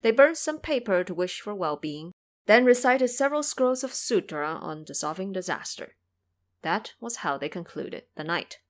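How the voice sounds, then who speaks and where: A woman's voice: medium pitch, very animated, moderate pace. A woman narrating a scripted story as a storyteller.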